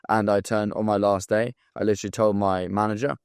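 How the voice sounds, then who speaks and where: Young man, southern English accent, lowish voice, dry close sound, profane, pushy, motivational. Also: level tone, a little fast, clean tone, dry recording. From England. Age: 20s. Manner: blunt, profane, hyped-up motivational delivery. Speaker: sales coach. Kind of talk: direct-to-camera pitch video.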